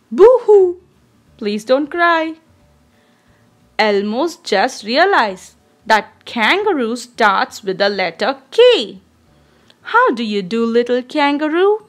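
Lady reading a picture book to little kids, really slow, voice swings up and down a lot. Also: fairly high pitch. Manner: expressive, deliberate, child-directed delivery. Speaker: storybook reader. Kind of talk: children's read-aloud storytelling.

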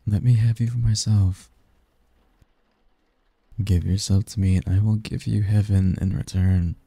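A man playing a possessive, teasing sleep demon lover in an intimate ASMR roleplay, speaking softly in formal, flirtatious phrases. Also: lowish voice, flat delivery, unhurried, creaky, breathy edge, dry recording, exaggerated voice. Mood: teasing, seductive. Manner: flirtatious, formal wording, soft intimate delivery, chuckling.

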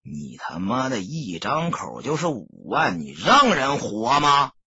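A gruff, defiant male street tough, put on by a male narrator, grumbling bluntly in a low husky voice. It is an audiobook figure, recorded dry and a little dull. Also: huge pitch swings, unhurried, sharp tone, no echo, heavily acted. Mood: scornful. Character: defiant, hot-tempered male small-business street tough.

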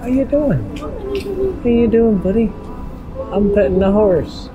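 A man's voice: very high voice, big pitch swings, slow, lo-fi sound, music in the background. A man in his thirties giving casual, joking walk-along vlog commentary into a handheld camera. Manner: wry, joking asides. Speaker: vlogger. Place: on the move through a market and outdoors. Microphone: handheld camera at arm's length. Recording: noisy handheld camera with ambient noise.

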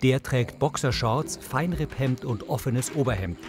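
A man's voice: medium pitch, measured pace, over music. A man reading scripted audio description of scenes in the present tense as a narrator.

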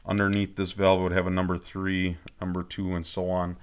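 A man's voice: sits low, monotone, moderate pace. A man speaking informally and plainly as he explains his hands-on rebuild project from behind a handheld camera in a workshop. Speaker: amateur tractor rebuilder presenting a project update.

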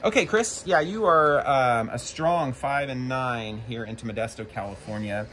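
An American man chatting casually as a ham radio operator and video host, halting and informal. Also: medium pitch, a bit bright. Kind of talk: casual ham radio chat and vlog narration. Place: covered backyard patio, outdoors. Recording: faint outdoor background noise.

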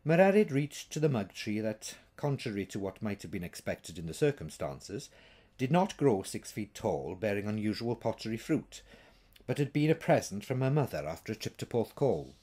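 A man narrates a written story expressively. His voice sits fairly low, and its pitch moves widely as he reads. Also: sing-song, moderate pace, warm tone, husky. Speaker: author reading his short story.

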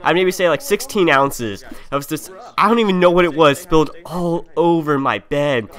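A man's voice: fairly high pitch, normal speed, fairly bright tone. A young man, a game streamer, tells a story in a casual, slangy way, acting out dialogue as he goes, on a close mic. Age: young adult. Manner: informal, slangy, acts out dialogue. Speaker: streamer telling a story over gameplay. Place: indoor room at a gaming setup.

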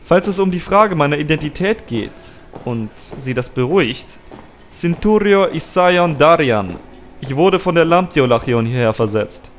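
A man's voice: fairly high pitch, animated, normal speed, a little hiss or hum, lightly acted. A man playing a confident, self-assured military commander with a clipped, commanding manner in an audio drama. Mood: cool, measured. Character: confident, cocky military commander briefing his soldiers.

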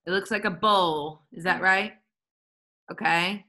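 A woman's voice: on the low side, unhurried, sharp tone. A woman leading a children's lesson on a video call, chatty and encouraging. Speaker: children's program leader. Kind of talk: casual hosting of a kids' video call. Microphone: laptop on a video call.

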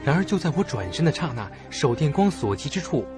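A man's voice: on the high side, very animated, brisk, over music. A man narrating a suspense story in a dramatic, theatrical audio-drama style. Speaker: first-person narrator of a horror story.

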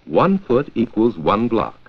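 An American man narrating a 1940s educational film from a script, with a clear, measured storytelling delivery. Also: fairly low pitch, wildly swooping pitch. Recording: dull, lo-fi 1940s 16mm film soundtrack.

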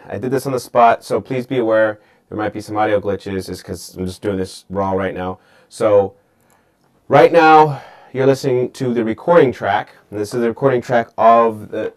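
A young man giving an informal hands-on tutorial, explaining step by step and often checking in with the listener. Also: lowish voice. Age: young adult. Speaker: tutorial host and musician. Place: small home studio, indoors.

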